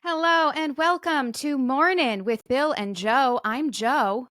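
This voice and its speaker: A woman co-hosting a podcast, running the show in teasing, deadpan banter. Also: sits high, slow pace, dry recording, studio quality, faint music behind. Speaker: podcast co-host. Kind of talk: casual banter.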